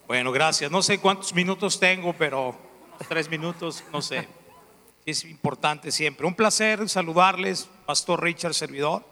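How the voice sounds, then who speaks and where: A man's voice: a little high, measured pace, pushed and bright. A Mexican man, a church administrator, giving an earnest testimony and repeating key phrases. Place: church hall. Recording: reverberant church hall.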